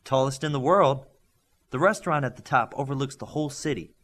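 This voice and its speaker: A man's voice: mid-range voice, a little fast, fairly bright tone, voice pushed up, lightly acted. A man voicing a blunt, proud teenage boy in an audiobook. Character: teen boy, proud, blunt team member.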